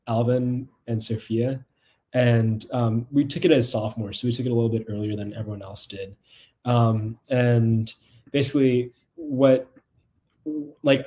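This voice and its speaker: A young man chatting casually on a video call panel, halting and often repeating his words. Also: mid-range voice, dark tone. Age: young adult. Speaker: documentary film subject on a Q&A panel. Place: small room at home, video call.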